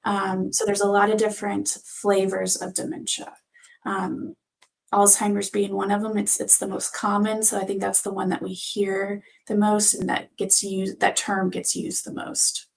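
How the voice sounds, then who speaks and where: A woman guest on a panel explaining things informally as a health professional, in measured phrases with frequent pauses. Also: mid-range voice, monotone, creaky. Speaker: physician assistant, panel guest.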